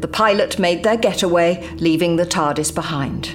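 An actress playing Romana, a composed female Time Lord leader who talks in a measured, dry way with frequent sighs. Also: fairly low pitch, faint music behind, natural voice. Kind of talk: audio drama acting.

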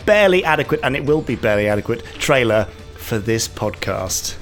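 A man's voice: medium pitch, expressive, a little fast, faint music behind. A man co-hosting a podcast, joking and giggling through a casual trailer. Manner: jokey, giggly. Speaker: podcast co-host. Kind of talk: casual chat, trailer banter.

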